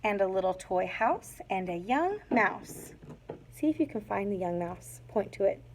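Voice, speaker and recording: A woman's voice: medium pitch, lively intonation, a little slow, noisy background. A woman teacher doing a read-aloud lesson for young children, speaking in simple, instructive phrases. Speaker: teacher reading a picture book aloud to young pupils.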